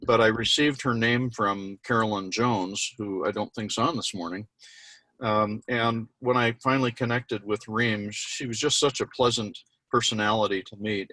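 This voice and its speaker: A man introducing a keynote speaker on a webinar from a mobile office, talking in a halting way with many fillers. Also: on the low side, sharp tone, no room sound, poor audio. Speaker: event host introducing a speaker. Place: mobile office with street noise around.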